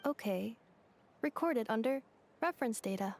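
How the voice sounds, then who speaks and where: An actress voicing a literal-minded, calm female robot in a video game, with clipped, computer-like phrasing. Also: a little high, moderate pace, a little hiss or hum. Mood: matter-of-fact. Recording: music behind the voice.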